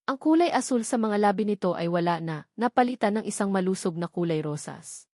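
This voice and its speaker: A woman's voice: mid-range voice, lively intonation, a little fast, darkish tone, no echo. Filipino woman narrating drama, close dry sound. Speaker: audiobook narrator. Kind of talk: scripted dramatic story narration.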